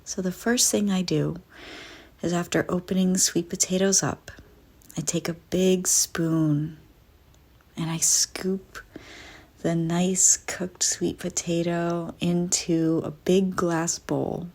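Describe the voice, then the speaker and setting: A woman narrating a scripted guided visualization in a soft, soothing, deliberate way with frequent pauses. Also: on the low side, steady tone.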